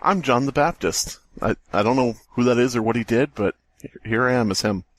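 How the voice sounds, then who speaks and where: A man's voice: medium pitch, brisk, no echo. Joking male podcast co-host, dry close sound. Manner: joking, improvises silly bits. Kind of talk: casual comedic chat.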